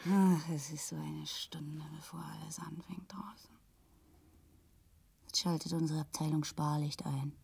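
An actress in a radio play playing a weary, callous housewife, theatrical and husky, drifting into breathy whispers. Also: female voice, deep voice, steady tone, measured pace, long pauses, darkish tone, full character voice. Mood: weary, intimate. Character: weary, callous East Berlin housewife and mother, darkly grotesque. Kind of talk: German radio play acting, grotesque.

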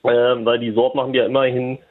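A man's voice: mid-range voice, level tone, fast. A man from Germany calling in to a show, rambling and hesitant with many fillers. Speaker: caller. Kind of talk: casual call-in chat. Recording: husky voice over a tinny phone line.

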